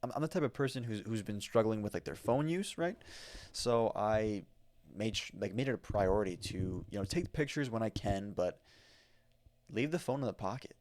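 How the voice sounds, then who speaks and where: An American man talks into a microphone on his own podcast, rambling through a travel story with plenty of fillers and restarts. His voice sits on the low side. The sound is close and dry, with no room echo. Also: animated, moderate pace, a little mellow. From United States. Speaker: podcast host. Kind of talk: casual solo podcast storytelling. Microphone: studio microphone.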